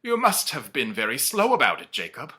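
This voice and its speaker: A man's voice: wildly swooping pitch, a little fast, pushed and bright. A man voicing a frightened, humbled miser who pleads emphatically, in a storybook reading. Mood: puzzled, deferential. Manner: imploring, high, emphatic.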